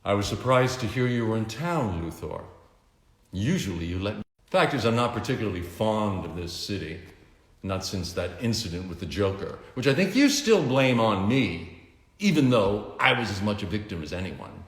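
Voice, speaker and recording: A man voicing a smooth, scheming male billionaire villain in a grand, theatrical manner, in a roomy echoing room. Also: fairly low pitch, big pitch swings, normal speed. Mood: smug.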